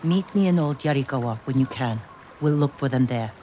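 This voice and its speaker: A woman's voice: rumbling low, expressive, a little fast, warm tone, a little hiss or hum. A woman playing a tough, protective older sister and fighter in a video game, with blunt, clipped delivery. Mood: blunt, firm. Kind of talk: dramatic video game acting.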